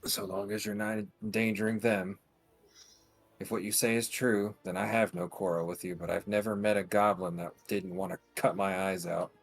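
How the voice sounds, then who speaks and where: A man's voice: low-pitched, level tone, normal speed, gravelly. A man playing a tabletop game, talking bluntly and casually, half in character. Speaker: player.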